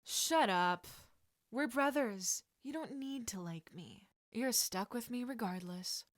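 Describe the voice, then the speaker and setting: A woman's voice: a little high, expressive, slow, no room sound, clean studio sound. A woman voicing a loyal teenage wizard boy in a story, bantering and protective of his friend. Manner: good-natured banter with concern.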